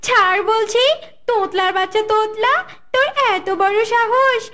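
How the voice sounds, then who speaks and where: A woman acts an indignant young cartoon vixen. The voice is extremely high and shrill, scolding and full of outrage. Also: a little slow. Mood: furious. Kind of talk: exaggerated cartoon voice acting.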